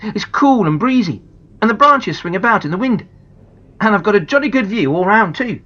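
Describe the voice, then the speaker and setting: A woman's voice: mid-range voice, animated, moderate pace, fairly bright tone, pitched above the performer's own voice. A woman voicing an enthusiastic young boy sharing a plan, in a slightly dull recording. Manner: enthusiastic, persuasive.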